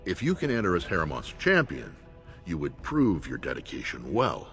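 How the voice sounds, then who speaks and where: A man's voice: low-pitched, huge pitch swings, normal speed, a bit bright, faint music behind, full character voice. An actor playing an earnest dwarven lord's aide in a video game, speaking formally as he gives a briefing. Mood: earnest, businesslike. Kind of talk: video game character acting.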